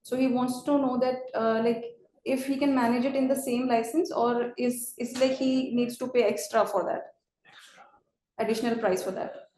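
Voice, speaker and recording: A woman moderating a live webinar, casually relaying audience questions in a halting, filler-heavy way. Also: fairly high pitch, fairly even intonation, dark tone. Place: indoor room, webinar call. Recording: echoey room with faint background noise.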